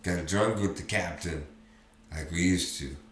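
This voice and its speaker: A man voicing a drunk, grieving sergeant and father, slurring his words and breaking out in anguished, loud outbursts. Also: low voice, unhurried. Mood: bitter. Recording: roomy echo, faint background noise.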